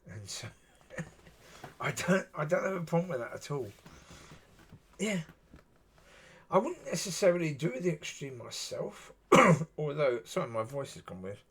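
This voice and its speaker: A man with a husky voice, talking alone in a rambling, musing way and often saying "yeah". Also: on the high side, big pitch swings, a little slow, fairly bright tone. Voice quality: husky, gravelly. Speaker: solo video talker. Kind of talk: rambling solo monologue.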